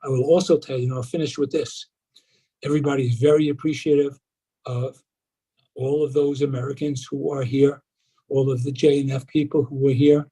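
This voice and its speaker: A man's voice: medium pitch, little pitch movement, brisk, warm tone, breathy edge, rough recording. A man who chairs a nonprofit board, giving an earnest, reflective update on a video call in loose, rambling sentences. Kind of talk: live video briefing remarks.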